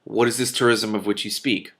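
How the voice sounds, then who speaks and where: A suspicious thieves' leader from a storybook, read by a man. He is cold, formal and measured, with coolly polite phrasing in a creaky voice. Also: lowish voice, steady tone, a bit bright.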